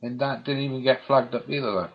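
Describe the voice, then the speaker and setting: A man's voice: medium pitch, fast talker. A man in casual chat on a stream, colloquial and given to swearing. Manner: swearing, laughing, colloquial British slang. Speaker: stream co-host. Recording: dull lo-fi sound.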